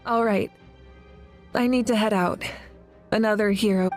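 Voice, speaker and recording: A woman voicing a solemn, selfless elder-sister heroine in a video game, speaking gently with measured restraint. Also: medium pitch, fairly even intonation, soft background music, natural voice. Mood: resolute.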